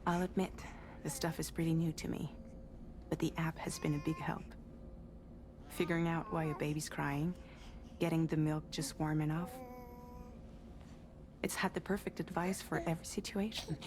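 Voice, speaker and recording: A caring friend played by an actress in a naturalistic game cutscene. Her voice is a little low, and she speaks gently and casually, offering reassurance. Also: flat delivery, moderate pace, long pauses, lightly acted. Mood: light, chatty. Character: caring, reassuring female friend minding a baby.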